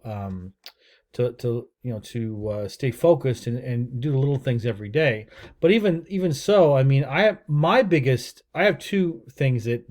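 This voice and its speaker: Middle-aged guy from the us rambling to camera, mellow tone, lots of uhs. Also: mid-range voice. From United States. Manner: rambling, many fillers and restarts. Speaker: real estate entrepreneur and channel host. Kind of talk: casual unscripted talking-head video.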